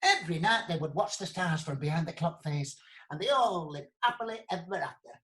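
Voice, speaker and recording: Radio play: playful pantomime storyteller, very expressive delivery aimed at children. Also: male voice, on the high side, a little fast. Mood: playful storytelling. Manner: playful, addressing children and audience. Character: cheery pantomime entertainer telling a comic fairy tale. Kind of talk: storytelling in a radio comedy drama.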